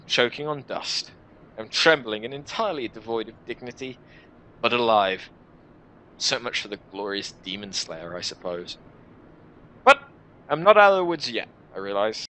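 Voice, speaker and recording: A man in his twenties reading a first-person story aloud in an expressive, deliberate, dramatic style. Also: mid-range voice, slight background noise, low-quality recording. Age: 20s. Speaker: video creator reading a visual novel. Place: small room at home, indoors.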